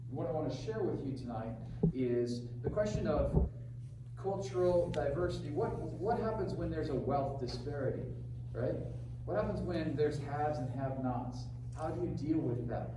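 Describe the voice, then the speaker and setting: A man speaks casually to a student audience, pausing often and filling gaps with "uh" as he goes. His voice sits a little high for a man. It reaches the mic from a distance, washed in heavy room echo over clear background noise. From Congo (Zaire). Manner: conversational, halting with many fillers. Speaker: history teacher. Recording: very echoey room, voice far off, audible noise.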